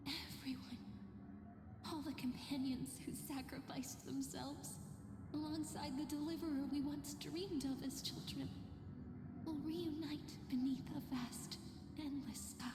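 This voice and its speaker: A gentle young game heroine looking back wistfully. Her voice is high and breathy, with a very slow, measured delivery. It rings in long reverb over clear music. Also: steady tone, noisy background. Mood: wistful, solemn. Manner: slow, tender, measured storytelling. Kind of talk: scripted game story voice acting. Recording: echoey long reverb, loud music behind.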